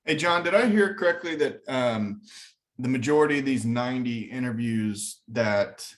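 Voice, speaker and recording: A man's voice: mid-range voice, moderate pace. A man in the audience of an online call, asking the host a question in a casual, conversational style.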